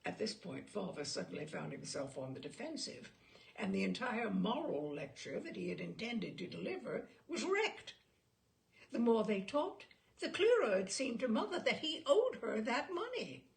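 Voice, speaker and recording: A woman reading a literary short story aloud as a storyteller, in an animated, theatrical manner. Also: fairly high pitch, moderate pace, creaky.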